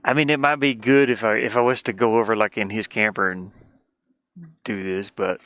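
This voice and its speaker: A man's voice: fairly low pitch, steady tone, moderate pace, fairly bright tone, dry recording. A man chatting casually and informally while he draws on a tablet, rambling and often muttering half to himself. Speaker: livestreamer drawing on an art tablet. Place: inside a metal van lined with insulation.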